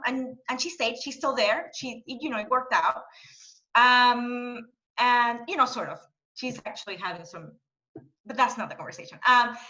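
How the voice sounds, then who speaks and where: A bright-toned woman in her thirties from Panama talks things through slowly, pausing on lots of fillers as she reflects. Her voice sits a little high and comes over a dull, lo-fi video call line. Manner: thoughtful, halting, many fillers. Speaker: panel guest. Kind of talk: reflective conversation.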